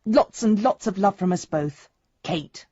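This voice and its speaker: A woman's voice: mid-range voice, unhurried, slightly rough, dry recording, muffled recording. An actress playing a concerned, affectionate grown daughter in a radio drama, chattily reading out a letter to her father. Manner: chatty, gently questioning.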